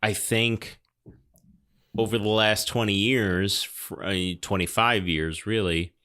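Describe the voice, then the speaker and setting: A man talks casually as a podcast commentator. His voice sits on the low side and sounds very clean and resonant. He develops his points thoughtfully, pausing and circling back as he goes. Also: fairly even intonation, sharp tone. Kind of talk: casual film discussion.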